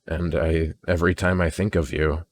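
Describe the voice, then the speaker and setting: A man recording an unscripted personal audio log in a weary, downcast, introspective way, halting and often repeating words as he restarts sentences. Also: extremely low pitch, flat delivery. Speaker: audio diarist.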